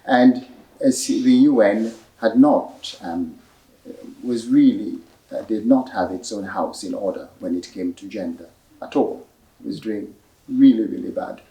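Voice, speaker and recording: A man giving measured, explanatory answers at a library book talk, pausing often with fillers. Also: on the low side, mellow. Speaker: author and speaker at a book talk. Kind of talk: answering audience questions. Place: library event room. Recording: echoey room, voice from a distance.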